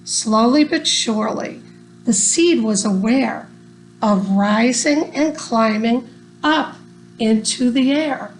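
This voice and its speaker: A woman reading a rhyming children's story aloud in an expressive, deliberate storytelling manner. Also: a little high, slight background noise, low-quality recording. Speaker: storybook reader.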